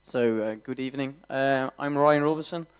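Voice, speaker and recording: A man's voice: medium pitch, normal speed, clean tone. A man in his twenties from the UK, a youth coach introducing himself hesitantly at a press conference. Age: 20s. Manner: hesitant, filler-heavy.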